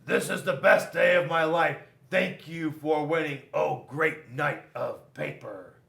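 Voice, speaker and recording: A man's voice: a little high, slow pace, vocal fry, pushed and bright, reverberant, heavily acted. A man voicing a boastful storybook rock warrior who declaims pompously and is eager to fight. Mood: joyful.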